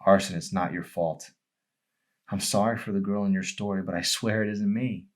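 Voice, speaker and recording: A man's voice: low-pitched, steady tone, normal speed, natural voice. A man voicing a hurt, emotional young girl in an audiobook, with an emphatic, pleading manner. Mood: gentle.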